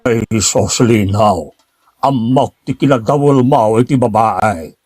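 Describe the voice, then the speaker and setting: A man's voice: fairly low pitch, slow, darkish tone, slightly rough, no echo. A man acting an earnest, talkative and insistent nephew in an Ilocano drama. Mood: agitated, pleading.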